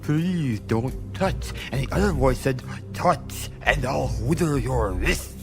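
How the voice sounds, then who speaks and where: A man's voice: mid-range voice, lively intonation, creaky. Nervous, whiny little man, music behind. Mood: halting, awed. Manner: stammering, halting, dramatic. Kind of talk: comic radio play acting.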